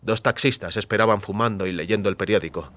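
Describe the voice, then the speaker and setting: A man narrating scripted audio fiction as a storyteller, in a measured, dramatic way. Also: lowish voice, fairly bright tone, dry recording.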